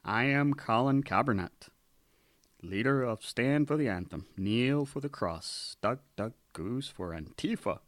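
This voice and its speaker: A man's voice: mid-range voice, expressive, a little slow, no room sound, clean studio sound, natural voice. A man playing a bossy, self-righteous militant protest leader in a satirical radio drama. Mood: commanding.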